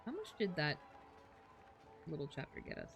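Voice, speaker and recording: A woman's voice: low-pitched, huge pitch swings, moderate pace, creaky, music in the background. A woman streamer chatting casually and thinking aloud while she plays, informal and sweary. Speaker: video game streamer. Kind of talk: casual live stream commentary, chatting to viewers.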